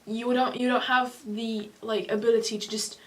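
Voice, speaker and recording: A woman's voice: a little high, moderate pace, low-quality recording. Chatty young woman giving exam tips. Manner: chatty, animated hand gestures, self-correcting. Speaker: student giving exam advice. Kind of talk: casual advice chat on camera. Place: small home room, indoors. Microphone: camera in front of two seated speakers.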